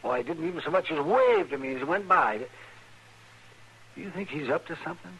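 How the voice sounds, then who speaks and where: An actor playing a chatty, crusty older town doctor with a raspy voice and folksy manner in a radio Western. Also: male voice, a little high, brisk, full character voice, rough recording. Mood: puzzled, chatty. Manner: animated, folksy storytelling. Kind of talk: old-time radio Western acting.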